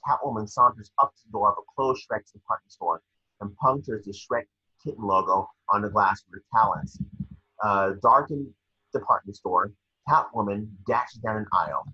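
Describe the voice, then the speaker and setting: A man reading scene descriptions at an amateur table read, with a husky voice. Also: on the low side, bright, forceful tone, lo-fi sound.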